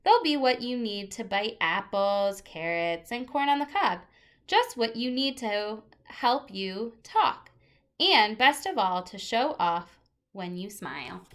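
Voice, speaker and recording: A woman's voice: mid-range voice, lively intonation, slow pace, a bit bright. A woman teacher reading a children's nonfiction picture book aloud, stressing key words expressively and adding casual asides.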